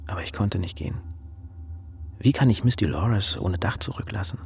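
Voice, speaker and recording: An actor playing a devout, overwhelmed relief helper in a German radio drama, speaking earnestly with preacher-like rhetorical repetition. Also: male voice, sits low, moderate pace, vocal fry, darkish tone, breathy edge, heavily acted. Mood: anguished.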